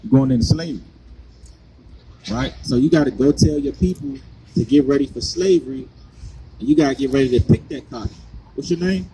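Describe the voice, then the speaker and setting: A man's voice: mid-range voice, a little fast, pauses often, noisy background. A man preaching on the street, pressing his points with repeated questions. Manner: insistent, hammers points with repeated "right?".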